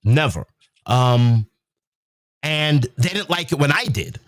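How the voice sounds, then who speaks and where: Relaxed man, dry close sound. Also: fairly high pitch, takes it slowly, no echo. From England. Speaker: podcast host. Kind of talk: casual chat.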